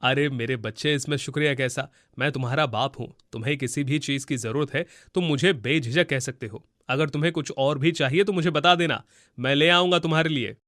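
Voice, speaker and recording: A man's voice: medium pitch, fairly quick, fairly bright tone, dry recording, studio quality, close to an everyday voice. A man voicing a devoted, generous middle-aged farmer father in a cartoon story, speaking reassuringly. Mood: caring. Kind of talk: Hindi cartoon story acting.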